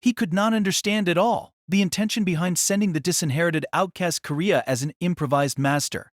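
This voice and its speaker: A man's voice: sits high, a little fast, no room sound, clean studio sound. A man reading scripted novel narration for an audio drama.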